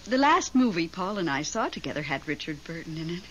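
A woman's voice: fairly low pitch, big pitch swings, brisk, a bit bright. A woman playing a genteel, chatty widow pining for her late husband in a 1970s radio drama. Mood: chatty, reminiscing. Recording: dull, faintly noisy 1970s radio.

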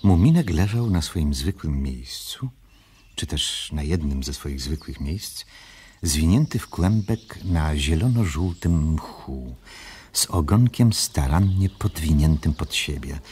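A man narrating a children's radio play in a measured, solemn storybook style with a husky voice. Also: rumbling low, lively intonation, darkish tone, a little hiss or hum.

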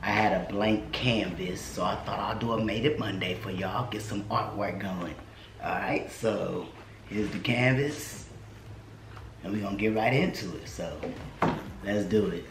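Laid-back man with a deep voice. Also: a little slow, noisy background. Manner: laid-back, talks straight to viewers. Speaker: vlogger and artist. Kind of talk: casual how-to vlog narration. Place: home garage workshop. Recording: echoey room with loud music behind.

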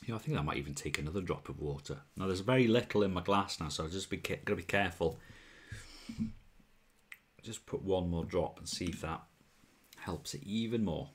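A man's voice: low-pitched, fairly quick, pauses often, slightly rough. A young man from Wales with a mild Welsh accent, hosting a casual whisky livestream at home, chatting and answering viewers' comments through a wired earphone mic. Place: small room at home, bottles on a shelf behind.

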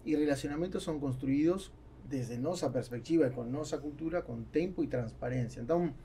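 Gravelly man, halting speech. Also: a little high, mellow, slight background noise. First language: Spanish. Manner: halting, searching for words. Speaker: podcast guest, businessman. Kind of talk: conversational interview answers. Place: small foam-lined podcast studio. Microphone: studio microphone on boom arm.

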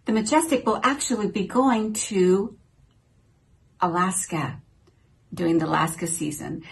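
A woman's voice: medium pitch, expressive, measured pace, creaky. An older woman presenting cruise news updates from her home office, in an upbeat, newsy manner, recorded at her desk. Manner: upbeat, newsy delivery reading from notes. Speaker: travel vlogger. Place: small home office, indoors.

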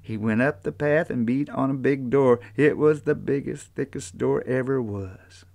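An older man with an Appalachian accent, reading a children's storybook aloud in an unhurried, folksy way. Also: medium pitch, creaky, darkish tone, no room sound. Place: small book-lined room indoors.

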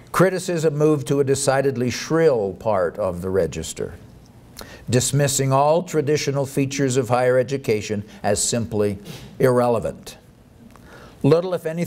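A middle-aged man reads a formal academic lecture aloud in a measured, deliberate way at a lectern microphone. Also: medium pitch, darkish tone. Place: indoor conference hall, at a lectern. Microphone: boom microphone on the lectern.

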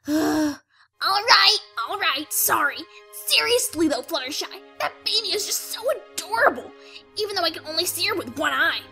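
A woman voicing a cocky, blunt young female pegasus pony in an animated radio play. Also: extremely high pitch, normal speed, gravelly, soft background music, full character voice. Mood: casual. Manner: boastful, blunt, exasperated.

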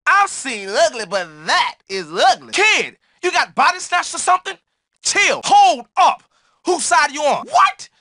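Brash, animated streetwise young guy. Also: very high-pitched, measured pace, no echo, heavily acted. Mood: cocky, combative. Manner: slangy, brash trash talk. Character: cocky, slangy young male streetwise jock. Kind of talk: video game character barks.

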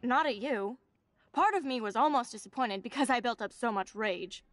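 A woman's voice: fairly high pitch, normal speed, no echo, natural voice. A woman playing a blunt gossipy teen girl. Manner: blunt, candid. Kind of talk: video game voice acting.

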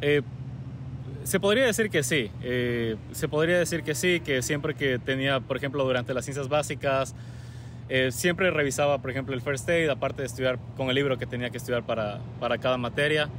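Young guy answering questions calmly, lots of "eh", street noise outside. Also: medium pitch. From Ecuador. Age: young adult. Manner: calm, measured, frequent "eh" pauses. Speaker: guest, recent medical graduate. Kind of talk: interview answers. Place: outdoors on a university campus plaza with trees.

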